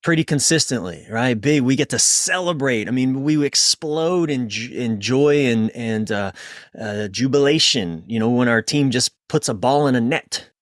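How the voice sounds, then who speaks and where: A man's voice: mid-range voice, lively intonation, normal speed, no echo, clean studio sound. A man speaking as a coach being interviewed, informal and conversational, often repeating phrases and interrupting himself. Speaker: relationship coach, interview guest.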